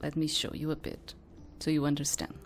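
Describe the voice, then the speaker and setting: A woman giving casual game commentary and reading aloud, thinking out loud in a hesitant, rambling way. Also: deep voice, completely flat delivery, fairly quick, slight background noise. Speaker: host of a game playthrough.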